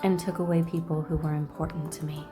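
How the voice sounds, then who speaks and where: Sassy woman with a lowish voice. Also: brisk, loud music behind the voice. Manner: sassy, blunt, swearing, expressive. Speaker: video creator reacting to a game. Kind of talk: casual commentary with dramatic read-aloud.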